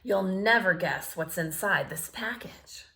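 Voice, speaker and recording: Woman as heroic storybook mouse captain: shouted, teasing, pitch jumping about. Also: animated, a little slow, creaky, full character voice. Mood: taunting. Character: heroic male mouse captain taunting a giant cat.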